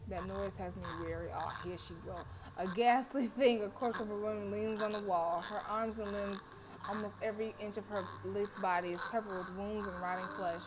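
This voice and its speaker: A woman game streamer reading story narration aloud in an expressive, dramatic way, with casual delivery between passages. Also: mid-range voice, fairly quick, crowd noise. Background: game music.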